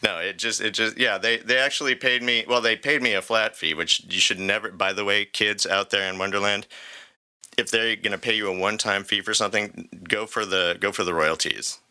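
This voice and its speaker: A man's voice: fairly low pitch, fairly even intonation, a little fast, bright, forceful tone. A man co-hosting a podcast in informal, joking conversation, recorded in a studio. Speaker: podcast co-host, singer and voice actor. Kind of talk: casual podcast chat.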